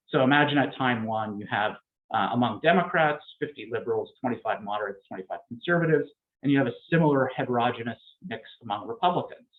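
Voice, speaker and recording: Man lecturing over a tinny line. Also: medium pitch. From Canada. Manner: measured and explanatory, hedging with frequent fillers. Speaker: political science lecturer. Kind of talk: academic lecture. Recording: tinny phone-like lecture recording.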